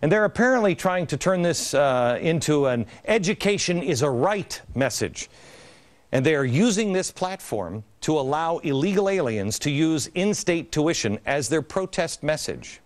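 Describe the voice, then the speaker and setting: A man's voice: on the high side, expressive, moderate pace. A man in his forties hosting an opinion TV show in a large studio, talking in an indignant, sarcastic, theatrical way. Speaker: TV host. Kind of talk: opinion TV commentary. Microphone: studio mic, standing at camera.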